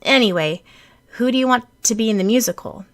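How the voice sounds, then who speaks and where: A woman's voice: a little high, fairly quick, a bit bright, no echo, natural voice. A woman voicing a small, brash, encouraging creature friend who exclaims bluntly in an audiobook story. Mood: exclaiming.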